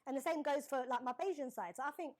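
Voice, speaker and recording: A woman's voice: sits high, fast. A woman hosting a group discussion, asking probing, challenging questions. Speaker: host.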